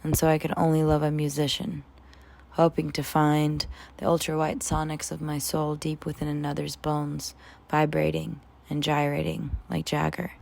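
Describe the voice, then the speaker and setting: A young woman poet reads her spoken word piece in a low voice that crackles with vocal fry. Her delivery is measured and dramatic, yet the melody stays very flat from phrase to phrase. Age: 20s. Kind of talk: spoken word poetry reading.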